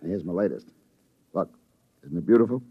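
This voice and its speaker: An actor playing a wealthy, gushing music mogul and art collector in a radio drama, rhapsodic and emphatic, repeating words for effect. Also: male voice, low-pitched, animated, a little fast, husky, dry recording, narrow, tinny audio. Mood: rapt admiration. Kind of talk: old-time American radio drama acting. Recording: dry vintage radio recording.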